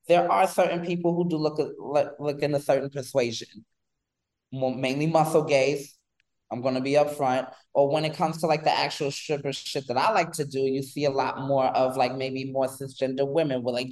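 A man in his thirties from New York, a guest on an interview show, talking in an animated, rambling way with lots of fillers. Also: medium pitch, rough recording. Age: 30s. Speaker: guest, pole dance instructor. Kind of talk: casual interview chat.

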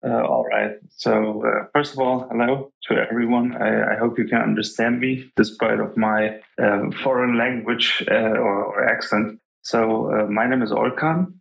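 A man's voice: mid-range voice, little pitch movement, moderate pace, gravelly, hardly any pauses, a bit bright, poor audio. Hesitant young man from Germany. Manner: hesitant and humble, many restarts. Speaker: guest artist. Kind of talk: podcast interview.

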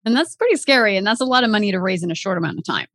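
A woman in her thirties hosting an interview, asking quick, curious follow-up questions in a casual way. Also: mid-range voice, lively intonation, fairly bright tone, dry recording, studio quality. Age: 30s. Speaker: podcast host.

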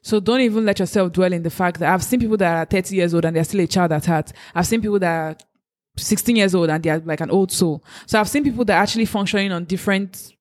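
A woman's voice: on the low side, fast, a little mellow. A woman from Africa in a casual chat, giving earnest, faith-driven encouragement. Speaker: co-host giving advice.

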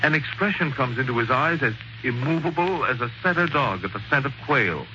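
A man narrating a 1940s radio drama in a theatrical, measured storytelling manner, on a muffled vintage broadcast recording. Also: medium pitch, animated, sharp tone, slight background noise. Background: prominent music.

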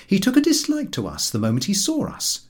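Expressive male storyteller with a husky edge. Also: high-pitched, a little fast. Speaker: audiobook narrator. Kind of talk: scripted narration of a humorous literary story.

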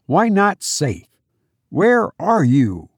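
A man's voice: medium pitch, sing-song, takes it slowly, vocal fry, warm tone, dry recording, studio quality, full character voice. A man voicing an astonished, impatient sailor on night watch, demanding answers in an audiobook. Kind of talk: audiobook character acting.

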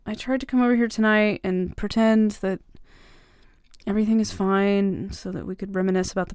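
Young woman, voice actress, creaky voice, teasing older-sister type, casual halting delivery, intimate roleplay, very dry recording. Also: medium pitch, darkish tone, dry recording, lightly acted. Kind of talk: intimate ASMR-style romantic audio roleplay.

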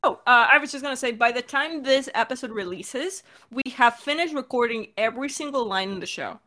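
A woman with a clearly bright, forward voice, pitched a little high, chats as a podcast director. She is informal and animated, and tends to repeat words for emphasis. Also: brisk. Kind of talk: casual creator Q&A chat.

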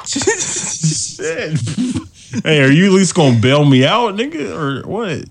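Male podcast host, animated pitch, crude joker, laughs a lot. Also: takes it slowly. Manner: crude joking, frequent loud laughing, animated. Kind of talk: casual podcast banter.

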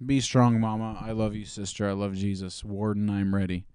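A man's voice: lowish voice, level tone, moderate pace, a little mellow, clean tone, no echo, studio quality, pitched below the performer's own voice, close to an everyday voice. A man voicing a condemned prisoner who gives a solemn, tender farewell to his family in a comic reading. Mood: resigned.

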